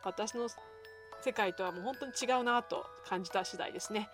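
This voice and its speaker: A woman's voice: mid-range voice, moderate pace. A woman hosting a podcast, chatting politely to listeners in an effusive, courteous and self-effacing way. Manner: polite, effusively apologetic and thankful. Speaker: podcast host. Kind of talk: chatty show outro to listeners. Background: music.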